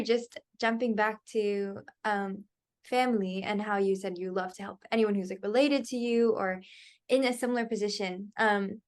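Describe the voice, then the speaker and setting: A woman conducting an oral history interview who asks tentative, hedging questions full of fillers. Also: mid-range voice.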